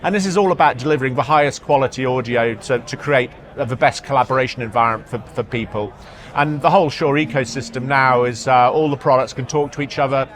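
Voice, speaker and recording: A man speaking as an executive in a product interview, halting, often repeating words and hesitating as he explains. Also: mid-range voice, fairly bright tone. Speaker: marketing executive being interviewed. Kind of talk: product interview at a trade show. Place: trade show floor.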